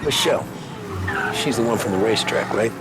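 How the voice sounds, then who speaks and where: An actor playing a laconic, businesslike American mob enforcer of the 1930s in a video game, terse and understated. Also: male voice, lowish voice, a little fast, heavy background noise, natural voice. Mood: guarded. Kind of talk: cinematic video game dialogue.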